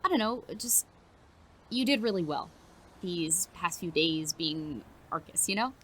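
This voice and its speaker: Teenage student reporter woman acting, audio drama; animated, bright, affectionately teasing, naturalistic. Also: lowish voice, a little slow, a little hiss or hum, poor audio. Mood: excited, sincere. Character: earnest, teasing teenage girl student reporter, loyal best friend.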